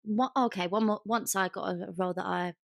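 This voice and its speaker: A woman's voice: mid-range voice, steady tone, fast, no room sound, clean studio sound. A young woman co-hosting a podcast, talking candidly and informally with some emphasis. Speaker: podcast co-host. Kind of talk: casual chat.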